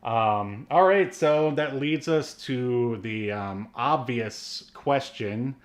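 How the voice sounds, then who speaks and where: A man's voice: medium pitch, takes it slowly. A man hosting a podcast, chatting casually and rambling with frequent fillers. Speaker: podcast host. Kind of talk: casual chat.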